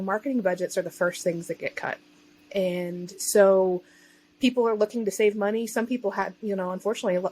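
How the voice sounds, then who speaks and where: A woman's voice: mid-range voice, slight background noise, rough recording. A woman speaking as a podcast guest in a chatty, informal way, telling her own story in casual conversation. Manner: chatty, informal, self-interjecting. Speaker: self-employed digital marketer and online bookseller.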